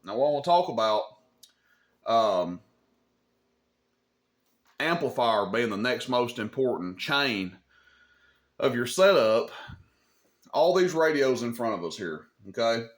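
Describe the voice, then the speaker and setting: A man's voice: mid-range voice, sing-song, takes it slowly, long pauses. A man hosting an informal hobby tutorial, explaining things in an opinionated, plain-spoken way and often checking in with a short questioning tag. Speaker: host of a CB radio advice channel.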